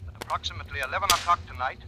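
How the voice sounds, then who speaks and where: An actor playing a grave, brisk wartime newsreader delivering a formal, clipped bulletin in a 1940s radio drama. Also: male voice, on the high side, natural voice. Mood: grave urgency. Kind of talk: 1940s news bulletin acting. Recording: noisy vintage radio recording with clicks.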